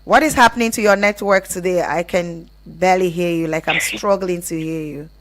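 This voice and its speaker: A woman's voice: lowish voice, moderate pace, poor audio. A woman with a Nigerian accent hosting a live radio phone-in, reading messages and talking with callers. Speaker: radio call-in show host.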